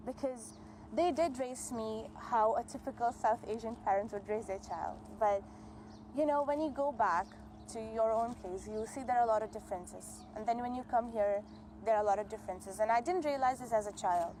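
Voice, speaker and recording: A woman's voice: on the high side, a little mellow, slight background noise. Earnest woman in her twenties. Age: 20s. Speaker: interviewee. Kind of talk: casual interview talk.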